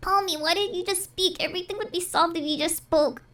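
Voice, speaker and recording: Woman putting on a shrill nagging young voice. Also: fairly even intonation, a little fast, fairly bright tone. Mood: exasperated. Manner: exasperated, questioning.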